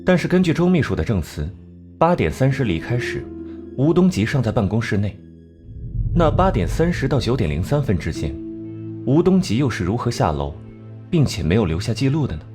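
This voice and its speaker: An actor playing a cold, clever male investigator in an animated crime drama, reasoning in a measured, analytical way. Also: mid-range voice, music in the background, close to an everyday voice. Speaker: detective section chief.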